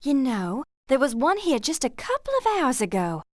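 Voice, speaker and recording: A woman's voice: sits high, very animated, moderate pace, dry recording, clean studio sound. A woman playing a friendly, obliging antiques dealer in a game, with a welcoming way of talking. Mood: welcoming, helpful. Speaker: voice actor. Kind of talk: scripted game character dialogue.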